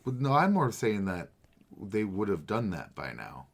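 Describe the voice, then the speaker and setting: A man's voice: on the low side, very animated, moderate pace, vocal fry. A man chatting casually as a podcast co-host, with a wry, sarcastic, profane way of talking. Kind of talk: casual banter about manga. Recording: noticeable background noise.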